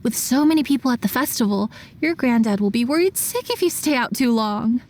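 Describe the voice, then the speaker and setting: A woman voicing a caring young townswoman in a video game, with a gentle, friendly manner. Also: fairly high pitch, animated, a little fast, natural voice. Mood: friendly, fond. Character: kindly young townswoman, caring and nostalgic craftswoman. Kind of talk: video game character dub.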